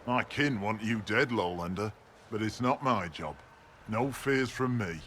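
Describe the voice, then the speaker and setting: Low-voiced, gruff barbarian healer. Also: male voice, expressive, a little slow, fairly bright tone, slight background noise. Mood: gruff, solemn. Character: stoic barbarian mountain healer, gruff, devout, proud, scornful. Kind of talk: video game dialogue.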